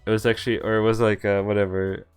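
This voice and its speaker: A man's voice: fairly low pitch, flat delivery, fast talker, clear, resonant tone, soft background music. A man giving casual, amused and incredulous live commentary on a visual novel game, reading some of its text aloud. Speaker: game streamer.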